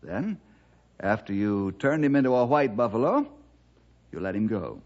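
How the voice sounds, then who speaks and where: An actor playing a steady, devout investigator with an American accent and a measured, probing manner, in 1960s radio drama style. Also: male voice, fairly low pitch. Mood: accusing. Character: steady, principled man, devout leader and investigator. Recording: dull vintage radio recording.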